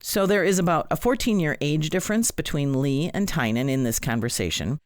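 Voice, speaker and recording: A man's voice: fairly high pitch, brisk, no room sound, studio quality. A man hosting a podcast, giving conversational commentary with wry, informal asides. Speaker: podcast host.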